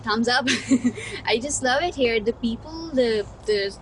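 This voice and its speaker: Higher-voiced woman from Pakistan. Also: expressive, measured pace, background noise. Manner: enthusiastic, repeats herself. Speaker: international student. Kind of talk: casual testimonial.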